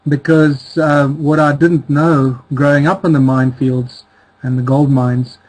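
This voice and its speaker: A man's voice: mid-range voice, flat delivery, a little slow, darkish tone, low-quality recording. A middle-aged man from the Czech Republic, raised in South Africa, speaking as an interview guest in a rambling way with many restarts. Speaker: guest author. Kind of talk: casual interview talk.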